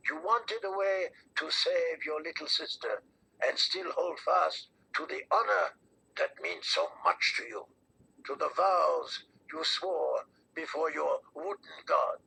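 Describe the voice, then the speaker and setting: An older man voicing a solemn, persuasive priestess of a fire god in an audiobook, raspy, creaky and deliberate. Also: on the high side, animated, pushed and bright, exaggerated voice, rough recording. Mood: solemn, proclaiming. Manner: portentous, deliberate.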